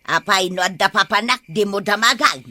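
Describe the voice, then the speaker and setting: A woman's voice: medium pitch, moderate pace, pushed and bright. A woman playing a blunt, questioning village woman in an Ilocano comedy audio drama, speaking with an Ilocano Filipino accent. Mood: teasing. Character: sharp-tongued village woman, questioning and practical.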